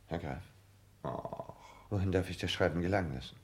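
Calculating, servile secretary for 1960s radio drama: extremely deep, gravelly, formal, on a slightly noisy old recording. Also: male voice. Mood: deferential, formal. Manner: formal, deliberate, deferential diction. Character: obsequious male private secretary, secretly calculating and love-struck. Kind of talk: 1960s German radio play acting.

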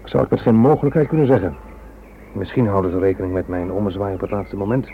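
A male actor playing a wry, resigned, suspended British police inspector in a radio drama, speaking in a measured, world-weary way. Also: low voice. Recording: old radio recording, narrow band, background noise.

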